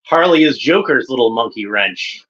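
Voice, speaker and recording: A man's voice: medium pitch, expressive, moderate pace, a bit bright, lo-fi sound. A man giving casual running commentary while watching an episode, chatty and fond, pointing out details as they come up.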